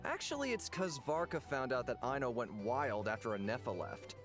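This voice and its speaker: A man's voice: mid-range voice, moderate pace, close to an everyday voice. A man playing an affable, talkative tavern keeper in an anime game, with a chatty, familiar manner. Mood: gossipy. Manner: chatty, animated, familiar with regulars. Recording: game music behind the voice.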